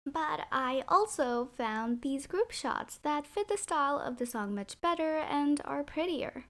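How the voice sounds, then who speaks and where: Hoarse high-voiced woman with sarcastic jabs. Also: expressive, measured pace, a bit bright. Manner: sarcastic, opinionated, playful jabs. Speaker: YouTuber reviewing music video thumbnails. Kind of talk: scripted commentary voice-over.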